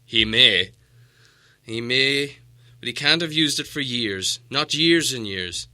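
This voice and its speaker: A man's voice: medium pitch, takes it slowly, sharp tone. A man voicing a stern, commanding old wizard in a storybook reading, emphatic and authoritative. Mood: explaining.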